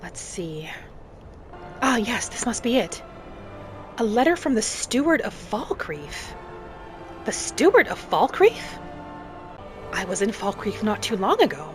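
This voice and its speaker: A woman voicing a polite, curious female wood elf traveler, musing aloud in gentle, slightly formal game roleplay. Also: mid-range voice. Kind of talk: in-character game roleplay commentary. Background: faint music.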